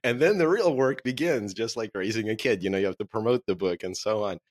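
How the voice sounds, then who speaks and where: Low-voiced man born in Russia. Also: fairly quick, no room sound, studio quality. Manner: relaxed, joking, laughs at own quips. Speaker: author and marketing agency owner. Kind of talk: casual interview answers.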